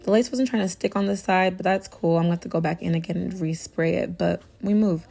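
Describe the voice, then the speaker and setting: A young woman with a hoarse, raspy voice from tonsillitis, talking through a hair tutorial in a casual, chatty way with lots of "you know.". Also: on the low side, quick pace. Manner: chatty, relaxed, full of fillers like "you know". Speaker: beauty vlogger.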